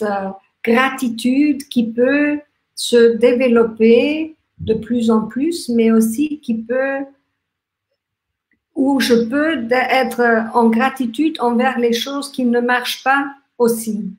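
A woman in her fifties from Austria with an Austrian German accent, German her first language, giving a gentle, reflective live talk with many restarts and fillers. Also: fairly high pitch. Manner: talks with lively hand gestures. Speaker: workshop host. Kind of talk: live online talk on gratitude. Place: small room indoors. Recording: echoey room.

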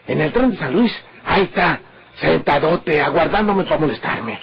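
An actor playing a nervous, scheming northern Mexican outlaw who grumbles to himself in colloquial exclamations in an old radio drama. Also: male voice, a little high, expressive, normal speed, vocal fry, breathy edge, exaggerated voice. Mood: indignant, rattled. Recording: muffled, very noisy old radio recording.

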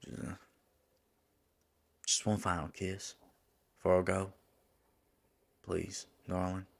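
A man playing a lovesick demon in an intimate ASMR roleplay, pleading tenderly with his angel lover in a gravelly, husky voice and folksy turns of phrase. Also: sits low, completely flat delivery, slow, exaggerated voice. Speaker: voice actor in an audio roleplay.

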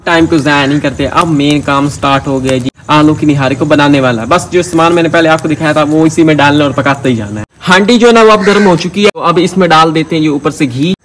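A man's voice: a little high, quick pace, few pauses, a bit bright. A man with a Pakistani accent, chattily explaining a recipe as a casual village cooking vlogger. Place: outdoors in village fields and a brick-walled courtyard. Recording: clear outdoor ambient noise.

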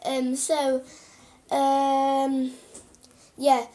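A young boy playing a female TV newsreader, croaky and hesitant, full of ums and restarts, recorded on a close webcam in a small room. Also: extremely high pitch, monotone, extremely slow, mellow, slight background noise, heavily acted. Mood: earnest. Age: child. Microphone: webcam at close range.

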